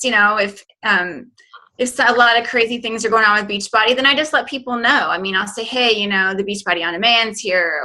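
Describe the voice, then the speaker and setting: A woman with a crisp, bright edge to her voice coaches casually on a group call. She talks in an informal, chatty way and illustrates her points with little quoted asides. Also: mid-range voice, rough recording. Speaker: guest speaker, business coach.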